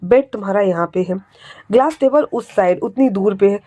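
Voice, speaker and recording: A woman playing a firm, caring older man who lowers her voice to scold and soothe. Close and dry, told as a story. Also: moderate pace, mellow, no room sound, lightly acted. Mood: stern, soothing. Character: protective older man, stern but caring.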